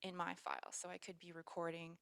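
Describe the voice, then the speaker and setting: Soft-spoken canadian woman, chatty and rambling, dry close sound. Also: fairly low pitch, a little fast, vocal fry, clear, resonant tone, dry recording. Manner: relaxed, rambling, lots of fillers. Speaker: musician guest. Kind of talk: casual interview chat.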